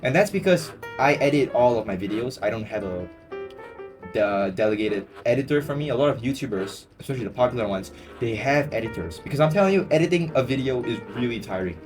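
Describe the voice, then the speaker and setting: A young man, talking at an ordinary middle pitch. He rambles through viewer questions in a loose, joking way, dropping slang and filler words. A plucked guitar tune plays clearly behind him. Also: expressive, fast. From Singapore. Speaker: YouTuber answering viewer questions. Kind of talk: casual Q&A chat. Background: guitar music.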